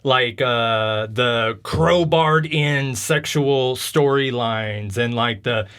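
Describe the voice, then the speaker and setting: A man's voice: medium pitch, drawn-out words, fairly bright tone, clear, resonant tone. A man talking casually and with strong opinions as a podcast host discussing video games, telling stories informally.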